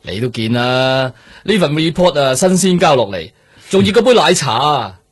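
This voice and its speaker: A middle-aged police boss in a radio drama, grumbling and exasperated in Hong Kong Cantonese. His husky voice swoops up and down as he complains. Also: fairly high pitch, sing-song, normal speed.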